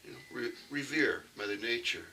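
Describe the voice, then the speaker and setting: Brief: unhurried Canadian archery vlogger, tense bright tone, lively pitch, faint music behind. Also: male voice, far from the mic, rough recording. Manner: rambling, folksy, with frequent fillers. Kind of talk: casual demonstration talk.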